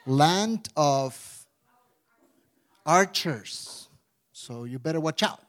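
Mexican-accented male pastor, 40s, chatty sermon style, slightly high voice, lots of restarts. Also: extremely slow. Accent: Mexican Spanish. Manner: conversational, animated storytelling with many restarts and "right?" asides. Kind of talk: casual sermon with prayer.

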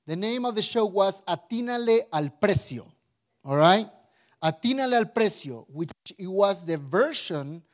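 Mexican-accented male pastor, 40s, chatty sermon style, slightly high voice, lots of restarts. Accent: Mexican Spanish. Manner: conversational, animated storytelling with many restarts and "right?" asides. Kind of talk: casual sermon with prayer.

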